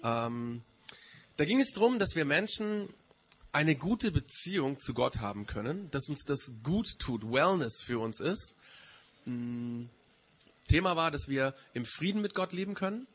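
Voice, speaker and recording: Male preacher, higher-pitched and husky with a mild cold; informal, explanatory sermon style that restates points. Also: lively intonation, a little slow, fairly bright tone. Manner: explanatory, often rephrasing and repeating key points. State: slight head cold. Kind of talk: informal sermon to a church community.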